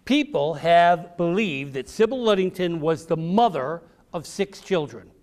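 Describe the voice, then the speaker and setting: A man's voice: on the high side, lively intonation, unhurried, darkish tone. An American man, an author, giving an encouraging, theatrical storytelling talk to children in simple, emphatic phrases. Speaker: author and speaker. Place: public library event before children.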